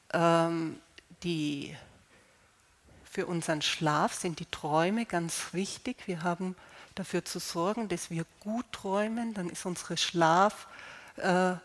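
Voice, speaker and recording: A woman with a slight cold calmly explaining how to use healing stones, unhurried, with fillers and restarts. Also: on the low side. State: slight cold, clearing throat. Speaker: gemstone healing presenter.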